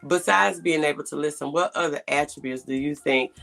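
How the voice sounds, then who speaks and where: A woman hosting a conversational interview show, asking a guest questions in a gravelly voice. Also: low-pitched, brisk, fairly bright tone, soft background music. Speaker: podcast host interviewing a guest.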